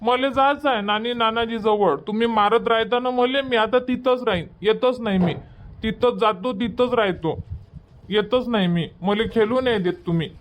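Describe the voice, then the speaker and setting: A man's voice: extremely high pitch, normal speed. A man voicing a stubborn, whiny little boy in a cartoon comedy, pleading insistently with a Varhadi Marathi accent. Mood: whining, sulky.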